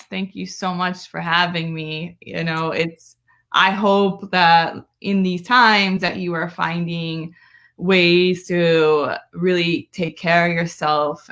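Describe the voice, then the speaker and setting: American female activist guest, lowish voice, conversational, earnest, emphatic delivery. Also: fairly bright tone. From United States, born in Brooklyn, living in Florida. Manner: earnest, emphatic, often seeking agreement with "right?". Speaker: guest, community activist and political strategist. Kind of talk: conversational interview on a live stream.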